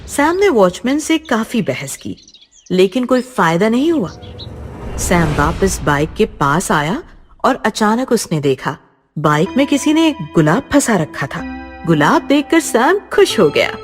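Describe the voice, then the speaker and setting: Female horror narrator, dramatic and unhurried, with widely swinging intonation over music. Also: mid-range voice, very animated. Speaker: storyteller, narrator. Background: background music.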